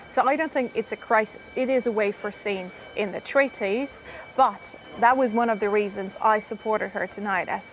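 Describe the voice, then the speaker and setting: A woman with a mid-range voice explains her position calmly on a live televised panel. She lays out her answer in measured steps. Faint noise sits behind her. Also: medium pitch, low-quality recording. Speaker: politician in a studio debate.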